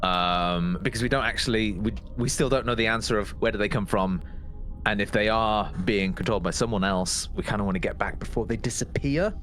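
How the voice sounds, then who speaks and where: Low-voiced man recapping a tabletop game. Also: a little fast, a bit bright, slight background noise. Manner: hesitant, repeats words and trails off. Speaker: player in a tabletop roleplaying game show. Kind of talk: casual spoken recap of game events.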